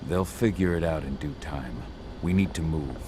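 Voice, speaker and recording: An actor playing a gruff, terse and dutiful exiled fighter in a video game. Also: male voice, very deep voice, moderate pace, background noise. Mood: businesslike. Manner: terse, stoic. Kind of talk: video game cutscene acting.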